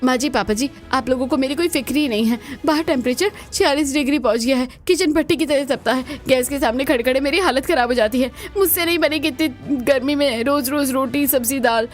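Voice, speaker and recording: Expressive cartoon housewife acted by a woman, music behind. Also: high-pitched, brisk, few pauses, close to an everyday voice. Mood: angry. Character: women of a cartoon household, scheming young daughter-in-law and exasperated elders. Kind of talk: dramatised cartoon dialogue.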